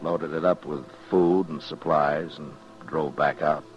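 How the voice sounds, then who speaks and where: A watchful American frontier marshal with a very deep voice. He speaks in a measured, laconic way, as if nothing hurries him. The sound is a muffled 1950s western radio drama with music clearly behind it. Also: steady tone. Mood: measured, calm. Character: steady, watchful frontier town marshal, grown man. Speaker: lead actor and narrator. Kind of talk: 1950s western radio drama acting, with first-person narration.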